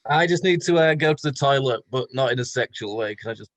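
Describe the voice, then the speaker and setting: A man's voice: medium pitch, animated, fast talker, no room sound. A man joking casually as a panellist on a comedy show.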